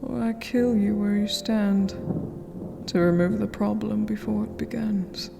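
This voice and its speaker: Woman acting as regal vampire queen, cold, stern, slow half-whispered speech, cavernous reverb. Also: medium pitch, steady tone. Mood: scornful, threatening. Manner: slow, commanding, breathy whispered delivery. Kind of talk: fantasy ASMR roleplay acting. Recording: long hall reverb, music underneath.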